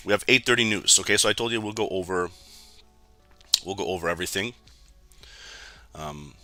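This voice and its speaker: A man from Canada with a raspy, hoarse voice, giving casual live commentary on a trading stream and talking straight to his viewers. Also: sits low, fairly quick, pushed and bright, soft background music. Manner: chatty, keeps addressing viewers as guys.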